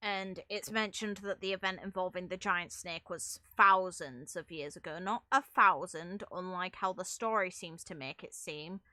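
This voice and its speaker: A woman's voice: on the low side, moderate pace, hardly any pauses, bright, forceful tone, no echo. A woman giving casual commentary on game lore, thinking aloud in a rambling, musing way. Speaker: gaming video creator and streamer. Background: music.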